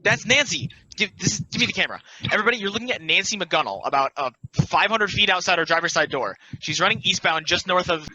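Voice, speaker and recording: A man as an excitable, bright-voiced cameraman. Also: high-pitched, fast talker, few pauses, voice pushed up, heavily acted. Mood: thrilled. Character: excitable young male storm-chasing game videographer. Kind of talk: amateur play table read. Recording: dry, dull lo-fi audio.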